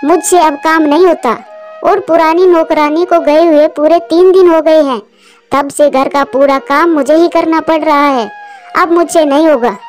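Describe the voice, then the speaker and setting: A bossy, nagging crow wife from a cartoon, played by a woman. She scolds in a very high voice with music behind her. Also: steady tone, moderate pace, lightly acted. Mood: angry, nagging. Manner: scolding, demanding. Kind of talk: Hindi cartoon dialogue.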